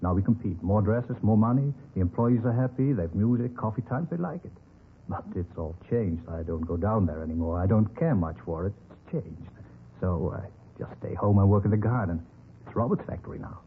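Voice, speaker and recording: Gentle, stubborn old businessman, low-voiced and hesitant, for a vintage radio crime drama on a tinny recording. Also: lively intonation, fairly quick, a little hiss or hum. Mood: wistful, resigned. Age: older. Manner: hesitant, mild, repeats himself.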